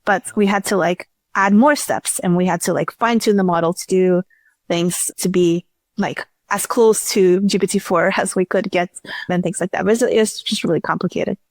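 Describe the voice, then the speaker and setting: A woman's voice: on the low side, steady tone, moderate pace, no echo. A woman giving casual, chatty interview answers as a startup chief executive, often restarting sentences and leaning on filler words. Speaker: startup CEO, interview guest.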